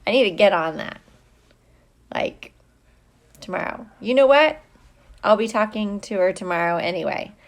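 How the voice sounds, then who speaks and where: A woman's voice: mid-range voice, lively intonation, normal speed, pauses often. A middle-aged woman, a teacher, chatting casually and playfully with young viewers on a livestream, drawing out her greetings. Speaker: teacher hosting a livestream for students.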